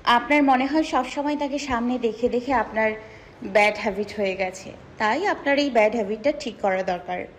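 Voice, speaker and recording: A woman's voice: a little high, normal speed, lightly acted. A woman playing a sulky, curt young female student in an audio story. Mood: annoyed. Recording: music under the voice.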